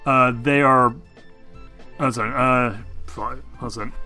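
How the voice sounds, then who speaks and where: A man running a tabletop game as game master, talking casually and haltingly with some swearing. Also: mid-range voice, steady tone, sharp tone, faint music behind. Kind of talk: casual tabletop game narration over a group call.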